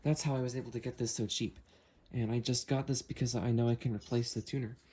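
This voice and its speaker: A man's voice: mid-range voice, fairly even intonation, fast talker, warm tone, slightly rough. A man hosting a casual unboxing video, talking through what he is handling as he goes. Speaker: host unboxing weather radios.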